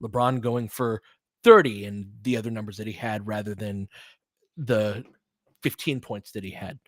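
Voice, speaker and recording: A man's voice: on the low side, moderate pace, fairly bright tone, no echo. A man hosting a sports stream in a casual, conversational way, thinking aloud and qualifying his opinions as he answers listeners. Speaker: sports livestream host.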